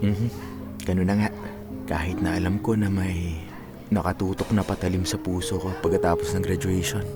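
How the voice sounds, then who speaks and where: Casting: male actor as devoted young Filipino man, low-pitched, heartbroken and resigned, radio drama, loud music underneath. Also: level tone, moderate pace, natural voice. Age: young adult. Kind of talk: Tagalog radio drama acting.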